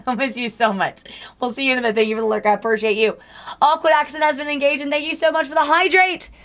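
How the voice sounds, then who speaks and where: Streamer, female, hoarse, slightly higher voice, faking a Southern drawl, chatty with viewers. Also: steady tone, fast, a bit bright. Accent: put-on Southern US. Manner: chatty, greets and thanks chat by name. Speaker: livestreamer. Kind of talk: casual livestream chat with viewers.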